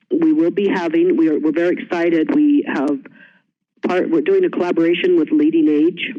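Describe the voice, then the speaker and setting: A woman giving an earnest, encouraging webinar presentation for an elder-care group. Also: deep voice. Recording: muffled, dry conference audio.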